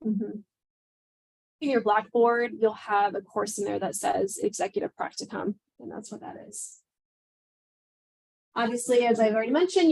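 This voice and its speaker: A woman advisor giving a reassuring, brisk orientation talk to new students in a classroom. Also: fairly high pitch, vocal fry, darkish tone, breathy edge, low-quality recording. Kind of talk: orientation presentation.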